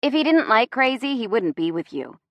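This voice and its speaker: A woman's voice: fairly high pitch, sing-song, normal speed, no room sound, highs cut off. A woman voicing a young woman who is a blunt, playful and supportive best friend in a novel. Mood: teasing.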